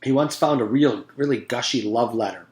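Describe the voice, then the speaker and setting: A man with a mid-pitched voice reads a children's storybook aloud in the role of a teacher. The recording is roomy, with noticeable echo around his words. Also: moderate pace. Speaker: teacher reading aloud to pupils.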